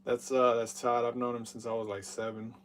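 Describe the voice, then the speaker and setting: A man's voice: mid-range voice, flat delivery, brisk, far from the mic, a little hiss or hum. A man in his thirties hosting a live game stream, rambling with lots of fillers and hyped play-by-play. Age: 30s.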